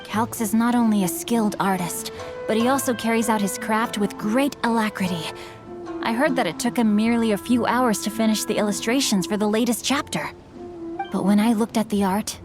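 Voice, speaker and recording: A man's voice: high voice, fairly even intonation, normal speed, lightly acted. A man voicing a courteous young male swordsman and novelist who speaks formally and politely, in a game. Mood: admiring. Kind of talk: game voice acting. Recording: game cutscene with music underneath. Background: game music.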